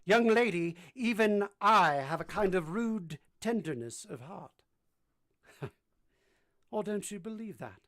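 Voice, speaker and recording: A woman playing a brusque, moody gentleman master in a vintage-style radio drama, commanding and teasing as they question. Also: medium pitch, lively intonation, takes it slowly, long pauses. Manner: imperious, teasing, theatrical questioning.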